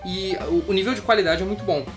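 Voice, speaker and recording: Casting: fast-talking woman, casual review co-host, light guitar music underneath. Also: medium pitch. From Brazil. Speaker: co-host of a film review show. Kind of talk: casual conversational film review.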